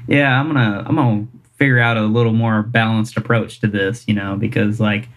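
A man's voice: fairly low pitch, fairly even intonation, a little fast. A man, a singer-songwriter chatting casually in an interview, rambling and self-deprecating with lots of fillers. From Kentucky, US.

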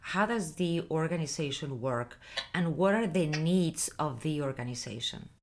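Low-voiced woman hosting an interview. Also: lively intonation, moderate pace. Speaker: talk show host.

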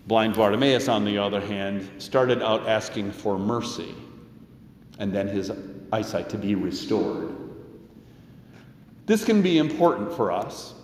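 A man preaching a sermon as a pastor, with a measured, emphatic delivery and deliberate pauses. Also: mid-range voice. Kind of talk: sermon with scripture and commentary quotes. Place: large echoing church or hall. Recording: echoey hall with long reverb.

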